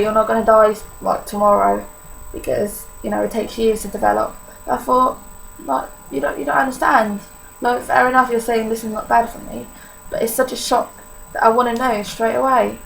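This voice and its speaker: An eighteen-year-old Polish-accented woman speaks candidly to her camera, her voice pitched a little high and heavily gravelly with vocal fry. She talks informally with plenty of fillers. A faint hum of noise sits behind her. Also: rough recording. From Poland. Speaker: vlogger. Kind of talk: personal vlog confession.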